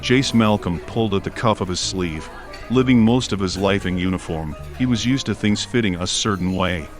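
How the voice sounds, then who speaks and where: A man narrates a story in a fairly low voice. It is a scripted audiobook reading, with faint music behind the words.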